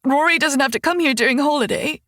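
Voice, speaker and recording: A woman's voice: medium pitch, measured pace, no room sound, clean studio sound, lightly acted. A woman voicing a tearful young woman. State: crying. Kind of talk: audiobook character voice.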